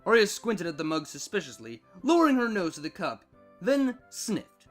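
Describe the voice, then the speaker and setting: A man with a high-pitched voice narrates a story from a script. His delivery is expressive and varied, with music playing underneath. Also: normal speed. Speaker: fan fiction narrator.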